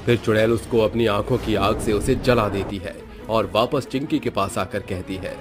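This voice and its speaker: A man narrating a spooky cartoon story in a steady, dramatic scripted style. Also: fairly low pitch, normal speed, over music.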